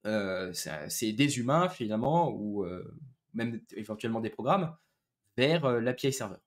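Man, thirties, mid-pitched voice, technical presenter, explanatory and hesitant, headset on a video call. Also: lively intonation, normal speed. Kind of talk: informal technical presentation with slides. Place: small room indoors, video call.

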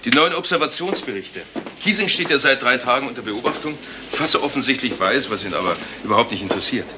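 A man's voice: medium pitch, lively intonation, fast, lightly acted. An actor playing an informal, chatty police assistant reporting to his boss in a German crime drama. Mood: briskly informal. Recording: clearly noisy TV soundtrack.

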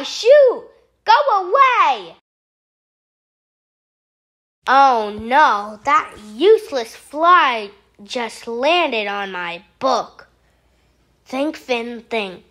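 High-pitched fussy storybook frog played by a woman, theatrical, slow delivery, dramatic, easily horrified. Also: expressive, a bit bright, exaggerated voice. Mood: disgusted, alarmed. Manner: theatrical, exclaiming. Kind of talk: children's storybook read-aloud.

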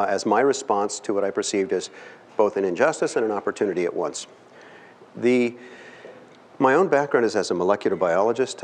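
Middle-aged male lecturer, low voice, earnest and emphatic, long hall reverb. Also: darkish tone. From California. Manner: earnest, emphatic, with pauses and fillers. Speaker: guest speaker. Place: large dim lecture hall. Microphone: far camera.

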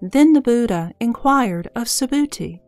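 A woman's voice: fairly high pitch, animated, a little slow, a little mellow. A woman narrator reading scripted scripture narration for an audio drama. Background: background music.

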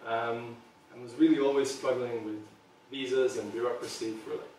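A man's voice: mid-range voice, steady tone, normal speed, darkish tone, a little hiss or hum. Man in his thirties from Serbia, earnest presentation, frequent ums, room echo, heard from afar. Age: 30s. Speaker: product designer giving a talk. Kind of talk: public presentation. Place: small meeting room with audience. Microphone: far camera. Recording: very echoey room from far off.

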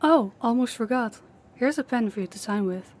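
A woman plays a businesslike, polite airline clerk at a check-in desk. Her voice is a little high. It is an audio drama scene with faint ambience behind her. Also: expressive, normal speed, darkish tone, slight background noise, lightly acted. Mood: polite, helpful. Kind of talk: audio roleplay acting.

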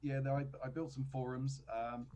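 A man with an English accent from Cambridge, a podcast guest chatting on a video call with a headset, relaxed and self-deprecating. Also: medium pitch, normal speed, a little hiss or hum. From England. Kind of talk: casual chat on a video call.

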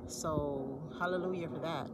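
A woman giving a relaxed, unhurried walk-through of her desert garden, with fillers and self-corrections along the way. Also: low-pitched, heavy background noise. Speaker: homesteader giving a garden tour. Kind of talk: casual walk-through narration.

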